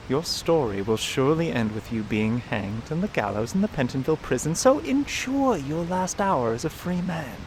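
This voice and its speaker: An actor playing an eloquent, defiant Victorian detective in a radio drama, formal, theatrical and pompous. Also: male voice, mid-range voice, slight background noise, close to an everyday voice, low-quality recording. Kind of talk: melodramatic radio drama acting.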